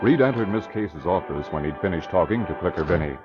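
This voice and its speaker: A man's voice: low-pitched, lively intonation, quick pace. A man announcing and narrating an old radio adventure serial in a dramatic, emphatic, scripted style. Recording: dull vintage radio recording with music.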